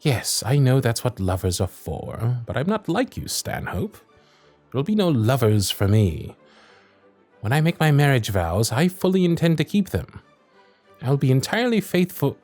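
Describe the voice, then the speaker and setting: An actor playing a wry, honourable young Victorian lord, charming and a little vain, speaking formal, courtly in a romantic audio roleplay. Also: male voice, mid-range voice, sing-song, normal speed, a little mellow, faint music behind. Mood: exasperated. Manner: formal, courtly, old-fashioned diction.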